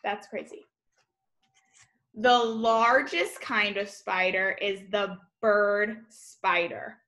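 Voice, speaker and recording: A woman's voice: mid-range voice, fairly even intonation, drawn-out words, sharp tone. A young woman teacher reading a children's nonfiction picture book aloud, expressively, adding informal explanations and exclamations for young listeners. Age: young adult. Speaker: elementary school teacher. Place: room at home, indoors.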